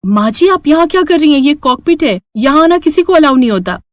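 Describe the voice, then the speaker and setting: A woman voicing a harried young female pilot in an animated story, polite but firm and urgent with her in-laws. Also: high-pitched, brisk, no room sound, clean studio sound, voice pushed up. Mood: firm, pleading.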